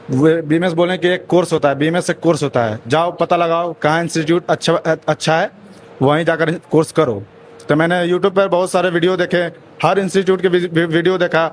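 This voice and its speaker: A man's voice: fairly high pitch, a little hiss or hum. A student from Bihar explaining how he chose the institute, stumbling over and repeating syllables. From Bihar, India.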